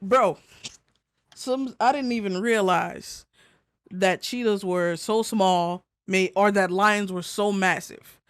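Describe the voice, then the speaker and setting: Casting: American podcast co-host, mid-pitched voice, casual, slangy and sweary, recorded dry. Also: slow pace, clean tone, dry recording. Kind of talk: casual chat.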